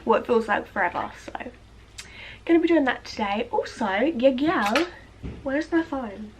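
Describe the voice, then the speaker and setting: A woman's voice: fairly high pitch, expressive, moderate pace, slight background noise. A young British woman vlogging casually from home, congested and nasal from a cold, rambling with lots of fillers while grooming her eyebrows. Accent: British English. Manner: chatty, rambling, filler-heavy. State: congested, blocked nose. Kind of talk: casual chat to camera. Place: at home, indoors.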